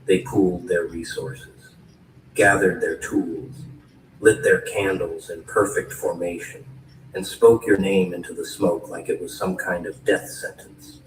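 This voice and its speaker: Low deep guy doing theatrical dark fantasy spoken word, talks right at you, echoey and distant. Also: steady tone, a little slow. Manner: theatrical, addresses the listener directly. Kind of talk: dramatic scripted dark fantasy narration. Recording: roomy, distant, faint noise.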